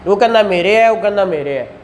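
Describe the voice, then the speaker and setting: A man's voice: high-pitched, animated, brisk, slight background noise. A man, teaching a law class and explaining points with rhetorical questions and acted examples. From Pakistan. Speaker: law lecturer. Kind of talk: classroom lecture.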